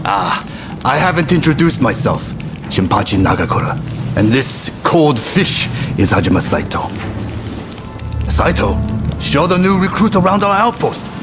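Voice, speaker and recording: Boisterous samurai captain, swinging pitch. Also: male voice, big pitch swings, a little slow. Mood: jovial, teasing. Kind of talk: video game cutscene acting. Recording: music behind the voice.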